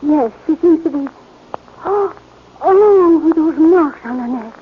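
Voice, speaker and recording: A woman's voice: high-pitched, lively intonation, slow. A prim old society lady. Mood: frightened. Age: 70s. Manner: prim, genteel, turns fluttery and frightened. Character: scheming respectable old rich woman. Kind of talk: 1940s radio acting. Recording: thin, muffled old radio transfer with hiss.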